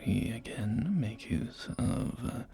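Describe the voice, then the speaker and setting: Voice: medium pitch, animated, takes it slowly, gravelly. A performer voicing a polite, eager post-apocalyptic real estate agent in a whispered ASMR roleplay, with courteous, hesitant sales patter. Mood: gently enthusiastic. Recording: loud music bed under close whispering. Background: music and game audio.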